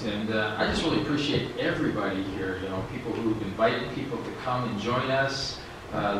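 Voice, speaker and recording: An older male pastor welcomes his congregation in a plain-spoken way. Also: on the low side. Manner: warm, plain-spoken, with fillers. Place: small church sanctuary, at a pulpit. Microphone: stand mic at the pulpit, far camera. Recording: echoey church room from a distant camera, background noise.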